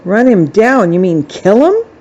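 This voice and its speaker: A woman's voice: medium pitch, very animated, measured pace, mellow, muffled recording. A woman acting a sassy, wary young female hitchhiker in an old radio suspense style, blunt and wisecracking. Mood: skeptical.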